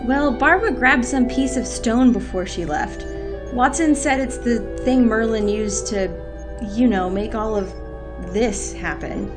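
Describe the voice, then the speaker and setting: A commanding, tired woman in charge in an audio drama, played by an actress. Her voice is a little high, with firm, dry sarcasm. Faint music runs beneath it. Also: unhurried, lightly acted. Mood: weary, firm. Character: weary, commanding young female leader, dryly sarcastic.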